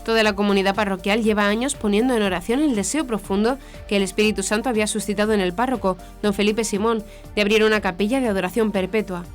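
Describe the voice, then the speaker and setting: A woman's voice: medium pitch, steady tone, fast talker, hardly any pauses. A woman reads scripted news in a formal manner. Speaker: news presenter. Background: background music.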